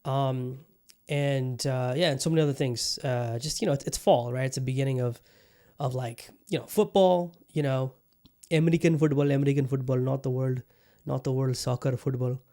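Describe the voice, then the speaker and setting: A man's voice: medium pitch, fairly even intonation, moderate pace, dark tone. A man hosting his own podcast in a casual solo chat, upbeat and rambling, often repeating his phrases. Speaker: podcast host.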